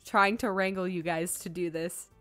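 A woman's voice: lowish voice, fairly even intonation, normal speed, fairly bright tone, soft background music. A woman hosting a stream with casual, exasperated chat and some swearing. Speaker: stream host.

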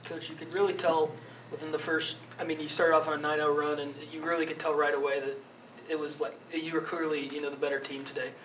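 A man reporter fires off long questions at a quick clip. His voice sits slightly high and sounds distant, with faint room noise behind it. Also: little pitch movement, a bit bright. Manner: rapid, run-on questions. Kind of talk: asking press conference questions. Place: press conference room.

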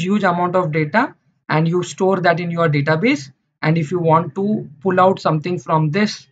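A man teaching beginners over slides, repeating phrases with many fillers and restarts. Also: on the high side. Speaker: instructor. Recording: dull lo-fi sound.

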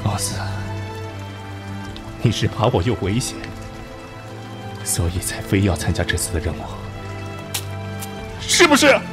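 A man's voice: fairly low pitch, wildly swooping pitch, measured pace, long pauses. A man playing a proud, passionate Nationalist army officer in a Chinese radio drama. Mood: grave, searching. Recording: music and rain behind.

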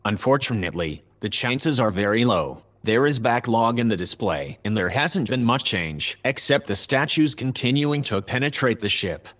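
A man playing a composed, formal artificial assistant in a sci-fi audio drama, reporting in a measured, matter-of-fact way. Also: mid-range voice, animated, fairly bright tone. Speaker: voice actor.

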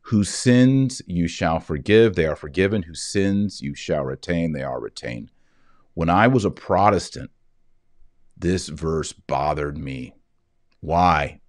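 A man in his forties reading scripture and explaining it in an earnest, emphatic way with reflective pauses. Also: low-pitched, a little mellow, no room sound, clean studio sound. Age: 40s. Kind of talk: scripture reading with devotional commentary. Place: indoors, wood-panelled office, seated at a desk.

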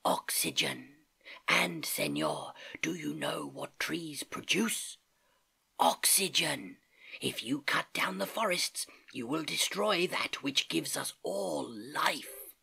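A reader voicing whispering porcupines, breathy, slow, earnest. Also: medium pitch, very animated, pushed and bright, pitched above the performer's own voice, exaggerated voice. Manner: whispering, questioning. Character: group of whispering tree porcupines.

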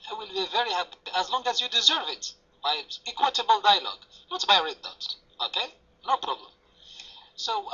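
A man's voice: high voice, bright, forceful tone, husky. A man arguing his side in an online debate, earnest, often checking in with "okay?". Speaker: debate guest. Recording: lo-fi voice chat audio.

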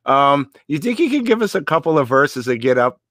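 Male host, earnest and halting, restarts a lot, dry close sound on a video call. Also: fairly high pitch, sing-song, fairly bright tone, dry recording. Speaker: radio show host. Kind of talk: casual video call interview. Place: home room indoors.

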